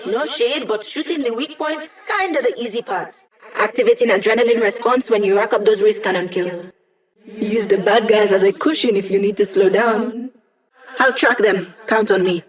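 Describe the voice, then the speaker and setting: A woman's voice: medium pitch, normal speed, lightly acted, poor audio. Game role: actress as young female tech expert, snarky, playful, upbeat, conversational delivery with a teasing edge. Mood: wry, teasing. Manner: wry, casual, playful teasing. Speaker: video game support character. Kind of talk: video game voice acting.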